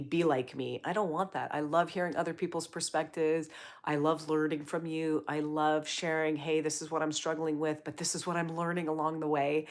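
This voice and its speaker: A woman hosting a casual livestream, chatting upbeat and encouraging while she reads viewer comments aloud. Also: low-pitched. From Canada. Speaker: livestream host.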